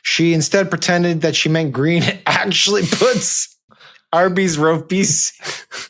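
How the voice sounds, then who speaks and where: A man's voice: on the high side, fairly even intonation, takes it slowly, fairly bright tone. A man co-hosting a casual panel chat, giggly and often struggling to keep his composure. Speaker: podcast co-host.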